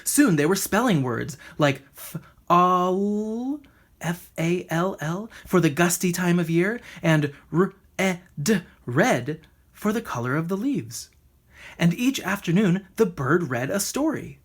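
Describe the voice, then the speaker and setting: A woman narrates a children's story. The voice sits a little low and has a husky texture. The reading is expressive, with plenty of movement up and down. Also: slow. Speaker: storybook reader.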